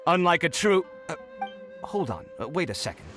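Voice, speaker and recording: A man's voice: fairly high pitch, big pitch swings, normal speed, a bit bright. An actor playing a proud, easily exasperated young male architect in a video game, haughty and dramatic. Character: proud, irritable male architect, young adult, theatrically indignant. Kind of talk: English video game dialogue. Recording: music playing behind the dialogue.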